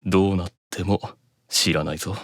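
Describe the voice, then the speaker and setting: A man voicing a composed, commanding demon from an anime game, slow and deliberate, stern yet seductive. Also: low voice, fairly even intonation, voice pushed down, natural voice. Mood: seductive, teasing.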